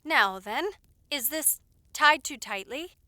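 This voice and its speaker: A woman playing a caring, loyal lady's maid in an audio roleplay, attentive and gently scolding with polite familiarity. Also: high voice, animated, takes it slowly, no room sound, full character voice. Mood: reassuring.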